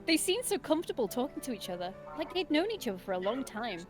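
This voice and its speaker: A woman with a high voice reads a visual novel aloud on a stream. Her delivery is very animated and giggly, slipping between story narration and casual chat. Game music plays softly behind her. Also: fast talker. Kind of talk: expressive reading of narration with casual banter.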